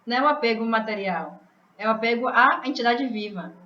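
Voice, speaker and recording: Lady teaching a study group on a call, bit high voice, muffled roomy audio. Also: lively intonation, brisk, sharp tone. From Bahia, Brazil. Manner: hesitant, restarts words, talks it through. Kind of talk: explaining scripture in a group call.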